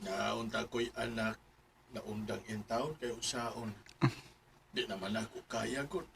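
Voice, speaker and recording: An actor playing a middle-aged, weary shopkeeper father who speaks gently and hesitantly to his son in a radio drama. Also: on the low side, fairly even intonation, measured pace, vocal fry, heavy background noise.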